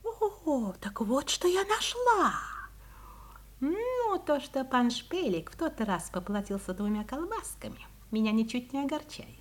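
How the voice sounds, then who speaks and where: A woman's voice: sits high, very animated, unhurried. An actress playing a cunning, self-satisfied female fox in a children's audio play, teasing and mock-polite in a theatrical way. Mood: musing, gleeful. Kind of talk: 1970s Soviet audio play acting for children.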